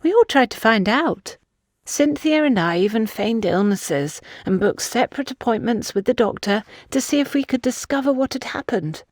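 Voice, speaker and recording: Woman voicing a gossipy older lady, pitched up. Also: animated, normal speed, no room sound, clean studio sound. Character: gossipy, insistent older woman, warning a younger one. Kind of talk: audiobook character dialogue.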